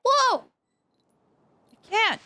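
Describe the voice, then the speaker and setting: A woman's voice: extremely high pitch, big pitch swings, drawn-out words, sharp tone, a little hiss or hum. A woman streaming a video game, giving chatty, playful, casual live commentary. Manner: chatty, playful, reads game text aloud. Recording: game music behind the voice. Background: game music.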